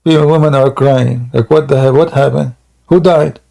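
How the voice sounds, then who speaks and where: A man's voice: medium pitch, steady tone, moderate pace, vocal fry, mellow. A man hosting a live call-in debate show, mocking and joking as he spins tall tales. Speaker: host.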